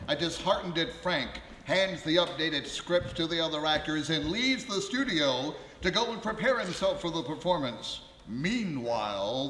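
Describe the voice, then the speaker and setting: An actor playing a pompous, formal old-time radio narrator in a comedy play. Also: male voice, on the high side, fairly bright tone. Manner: dramatic, formal announcer delivery. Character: pompous, literal-minded radio narrator who never drops character. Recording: echoey, noisy ballroom stage.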